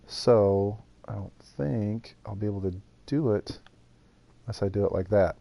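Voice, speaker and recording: A man's voice: on the low side, a little slow, gravelly, dark tone. A man streaming a building game live, thinking aloud and chatting with viewers. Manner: thinks aloud while building, talks to chat. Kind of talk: casual live gameplay chat.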